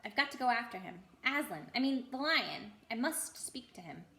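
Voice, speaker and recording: A woman reads as a determined young storybook boy, anxious and pleading. The voice is bright and fairly high, with clear room echo. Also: animated, normal speed. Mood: urgent. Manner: urgent, pleading.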